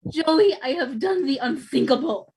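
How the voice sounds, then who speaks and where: Casting: actress as frazzled young food co-op employee, high voice, very animated, earnest and melodramatic, comedy read. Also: female voice, normal speed. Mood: distraught confession. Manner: stammering, overwrought. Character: anxious, guilt-ridden young co-op worker, earnest and dramatic. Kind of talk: comedy table read acting.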